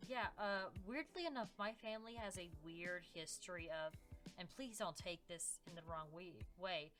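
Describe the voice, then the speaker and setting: A woman with a slightly low voice co-hosts a storytelling podcast. She plays a fey demon in an everyday, informal style, pausing hesitantly as she goes, with faint music in the background. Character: fey demon woman gossiping about humans. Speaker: podcast co-host. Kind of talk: casual in-character chat, telling family stories.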